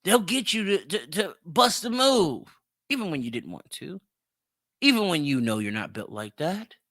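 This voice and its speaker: A man's voice: on the high side, sing-song, normal speed, bright, forceful tone, no echo, clean studio sound. A man hosting a solo opinion podcast, speaking emphatically and repeating phrases for effect. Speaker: podcast host.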